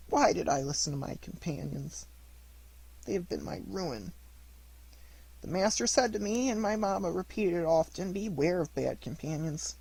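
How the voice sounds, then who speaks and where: A reader voicing a mischievous wooden puppet boy in a storybook, high and pleading. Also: slightly rough, no echo, low-quality recording. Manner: high, wailing, desperate pleading. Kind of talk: storybook reading.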